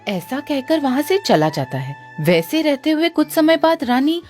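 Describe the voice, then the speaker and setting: A woman narrating a cartoon story in a storybook tone. Her voice is slightly high and swoops up and down a lot, with gentle music in the background. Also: big pitch swings, measured pace.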